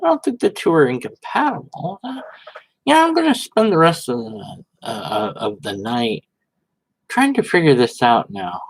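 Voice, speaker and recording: A man giving informal, sarcastic commentary and dramatic readings, with a halting, theatrical manner. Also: medium pitch, sing-song, creaky, slightly rough, rough recording. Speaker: host reading and commenting on social media posts.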